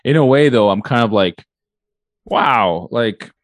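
A man's voice: medium pitch, lively intonation, measured pace, no echo. A man co-hosting a podcast in casual chat, loose and joking with plenty of fillers. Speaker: podcast co-host.

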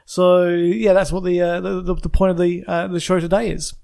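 A man's voice: high voice, little pitch movement, moderate pace, vocal fry, darkish tone. An Australian man co-hosting a casual podcast, rambling through his points with many fillers and restarts. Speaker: podcast co-host. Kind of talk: casual chat.

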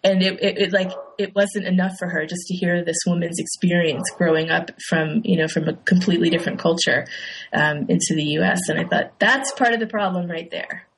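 A woman with a low voice and a gravelly fry talks through her thoughts with hesitant fillers. It is a casual discussion, with faint noise in the background. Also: steady tone, brisk, low-quality recording. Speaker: panelist.

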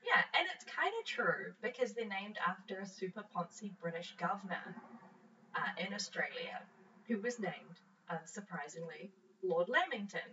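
A woman's voice: mid-range voice, big pitch swings, normal speed, pushed and bright, recorded from a distance. A young woman with a New Zealand accent chats cheerfully on her podcast, laughing easily and swearing casually. She sits a little back from the mic in a home office. The recording is dull, with a faint hiss behind her. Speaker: podcast co-host. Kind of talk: casual chat. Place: home office near a road.

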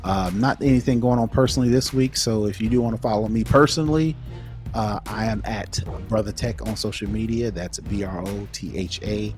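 A man's voice: on the low side, a little fast, darkish tone, faint music behind. A man co-hosting a podcast in casual chat, explaining things informally and circling back to rephrase. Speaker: podcast co-host.

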